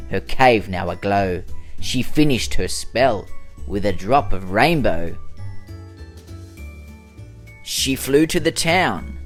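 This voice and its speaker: A man narrating a rhyming children's storybook as host, in an expressive, dramatic storytelling manner. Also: on the low side. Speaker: storyteller, host of a children's read-aloud show.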